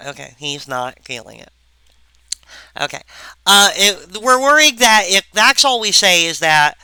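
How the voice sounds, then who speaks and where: A woman giving a recorded philosophy lecture, halting and full of wry asides to the viewer. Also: fairly low pitch, sharp tone, clear, resonant tone, no echo, low-quality recording.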